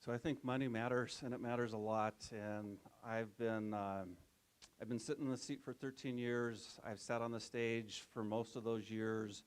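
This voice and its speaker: A man's voice: lowish voice, fairly even intonation, moderate pace, smooth. A man, a district superintendent, speaking earnestly on a panel discussion at a live event. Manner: earnest, persuasive policy talk. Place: event room with live audience.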